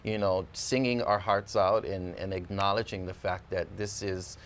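A man, talking casually and haltingly as an interview guest, circling back over his phrases. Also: low-pitched, fairly even intonation, fairly bright tone. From Hawaii. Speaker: interview guest, language teacher and musician. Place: television studio. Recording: studio with clear background noise.

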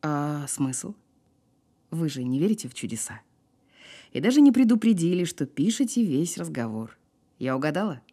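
A woman's voice: low voice, sing-song, warm tone, husky, heavily acted. An actress playing a wry, guarded woman in an audio drama, sparring with dry sarcasm. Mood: cool, teasing. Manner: dry, sardonic, unhurried sparring. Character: wry, guarded woman who survived a fall. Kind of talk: naturalistic audio drama acting.

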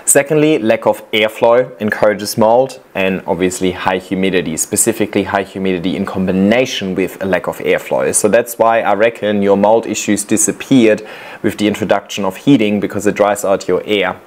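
A man with a somewhat low voice talks through plant-care advice in a loose, chatty way. He drifts between points with small fillers and restarts. It sounds like an easygoing creator commenting on viewers' clips. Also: moderate pace. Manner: relaxed, chatty, giving practical tips with fillers. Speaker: plant content creator reacting to viewer videos. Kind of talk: casual explanatory commentary.

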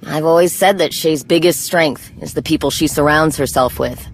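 A woman acting a brisk, proud, no-nonsense warrior in a video game, with a blunt, clipped, dry manner. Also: low-pitched, a little hiss or hum. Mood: dry approval.

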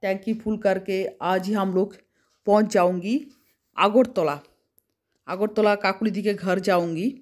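A woman's voice: medium pitch, fairly even intonation, a little slow, darkish tone. A woman travel vlogger from West Bengal chatting casually on a road trip, listing places and costs as she goes, recorded on a phone held at arm's length in a car and outdoors.

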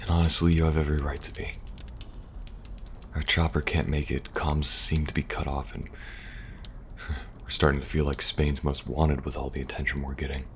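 A man playing a calm, protective young special agent in an ASMR audio roleplay, speaking softly and reassuringly with dry humor and a gravelly, at times breathy voice. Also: rumbling low, normal speed. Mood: gentle, reassuring. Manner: soft, hesitant, reassuring, dryly humorous. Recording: audible background noise, ambience.

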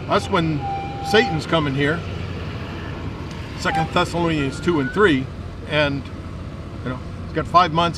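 An older man talking casually to camera as a Bible vlogger, giving a devotional. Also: fairly high pitch. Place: outdoors in a restaurant parking lot. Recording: distant mic with outdoor car noise.

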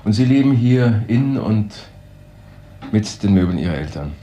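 Easygoing low-voiced detective. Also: male voice, expressive, moderate pace, mellow. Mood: curious, casual. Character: male detective's colleague, easygoing, curious, hesitant questioner. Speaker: actor in a crime radio play. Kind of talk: German crime radio drama acting.

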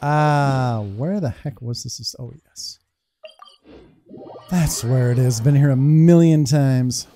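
A man's voice: mid-range voice, takes it slowly, dark tone, slight background noise. A man in his thirties giving informal, rambling commentary on a game playthrough. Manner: informal, rambling, mild swearing. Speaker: gaming streamer. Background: game music.

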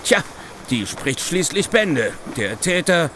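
An actor playing a gruff, sarcastic male police chief inspector in an audio drama, speaking insistently. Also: a little high, sing-song, takes it slowly, background noise. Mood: grim, certain. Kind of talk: German audio drama acting.

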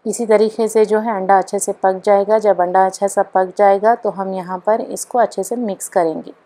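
Female cooking-channel host for recipe voice-over, steady monotone delivery, calm and instructional. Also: mid-range voice, moderate pace. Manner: calm, even instructional narration.

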